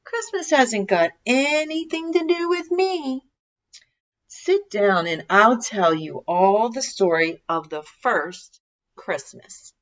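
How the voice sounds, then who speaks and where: A gentle, kindly old Father Christmas figure from a storybook, acted out by a woman. The delivery is reassuring and unhurried in manner, and the recording sounds slightly dull. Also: fairly high pitch, animated, voice pushed up. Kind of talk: children's storybook character voice.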